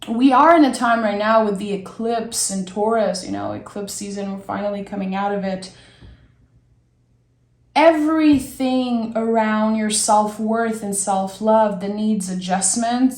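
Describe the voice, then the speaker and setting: A young woman with a raspy voice from being sick, giving a gentle, reflective tarot reading and thinking aloud as she draws cards. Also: mid-range voice, a little slow, roomy sound. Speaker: tarot reader. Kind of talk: soft, slow tarot reading.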